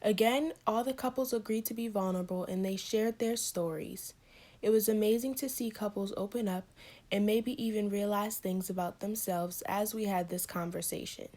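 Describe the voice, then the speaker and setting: A woman reading a scripted introduction for a channel, warm and earnest. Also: medium pitch, steady tone, moderate pace. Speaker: channel narrator.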